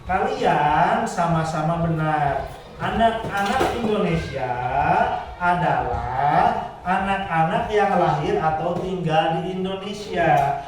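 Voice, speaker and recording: Man reading a story aloud to a class. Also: sits high. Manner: checks the class with questions between story parts. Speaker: primary school teacher. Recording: echoey classroom, far from the mic.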